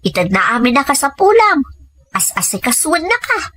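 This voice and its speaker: A woman's voice: high-pitched, big pitch swings, normal speed, a bit bright, no echo. A woman playing a young, cheerful, encouraging friend in an Ilocano-accented audio drama. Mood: lively, encouraging.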